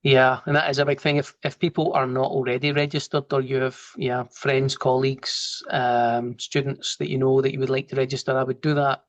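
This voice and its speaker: A man with a broad Glasgow accent, a trainer giving a relaxed, informal live online lesson over a video call and talking through each step as he works. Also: medium pitch, fairly quick, few pauses. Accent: Glasgow Scottish. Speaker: software trainer. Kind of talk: live online training, explaining and answering questions.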